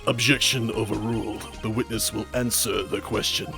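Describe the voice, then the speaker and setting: Courtroom judge, done by a man: authoritative, measured wording, formal game character, faint music. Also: lowish voice, fairly even intonation, vocal fry. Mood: stern, formal. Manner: authoritative, declarative. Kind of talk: improvised video game character voice-over.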